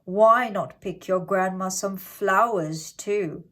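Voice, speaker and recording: Casting: menacing male wolf for a fairytale, played by a woman, snarling sweet talk, drawn-out delivery. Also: medium pitch, lightly acted. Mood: sly flattery. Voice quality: growling, snarling. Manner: falsely sweet, coaxing, drawn out. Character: big bad male wolf, sly and menacing.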